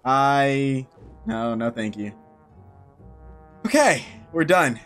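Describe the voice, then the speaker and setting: A man's voice: mid-range voice, big pitch swings, extremely slow, fairly bright tone, soft background music. A man in his thirties commenting on a game as he plays, joking and swearing casually. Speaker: gaming streamer. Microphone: headset.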